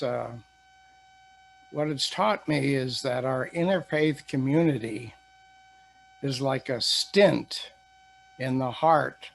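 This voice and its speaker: A man talks slowly with a gravelly edge to his voice. He speaks reflectively, pausing and restarting as he shares thoughts with his community group. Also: mid-range voice, low-quality recording. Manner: slow, reflective, with many restarts. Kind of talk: casual group sharing.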